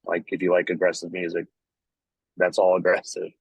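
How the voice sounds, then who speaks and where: Low-voiced laid-back man, dry sound. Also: completely flat delivery, moderate pace, breathy edge, no echo, low-quality recording. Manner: laid-back, casual, lots of "like" and "you know". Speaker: band member guest. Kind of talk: casual chat.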